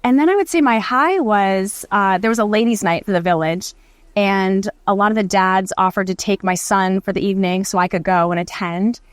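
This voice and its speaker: A woman hosting a podcast, welcoming the others and leading a relaxed chat. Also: mid-range voice, moderate pace, clear, resonant tone, no room sound, clean studio sound. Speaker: podcast host. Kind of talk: casual chat.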